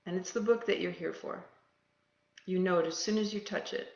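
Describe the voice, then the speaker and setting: A guide leading a meditation in a gentle, unscripted manner with short, paused phrases and a creaky voice. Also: mid-range voice, steady tone. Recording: roomy, echoing, slightly dull sound.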